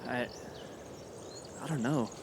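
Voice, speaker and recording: A young man playing a curious, level-headed high school boy in an audio drama, talking casually and haltingly. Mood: puzzled wonder. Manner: hesitant, thoughtful, casual. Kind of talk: naturalistic audio drama acting. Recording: noisy outdoor recording with insects. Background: crickets, forest ambience.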